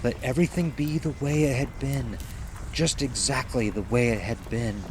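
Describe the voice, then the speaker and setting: A man's voice: mid-range voice, moderate pace, noisy background, pitched above the performer's own voice, lightly acted. A man voicing an anxious but determined woman in an audio horror drama, with an urgent, strained delivery. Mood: pleading, fearful.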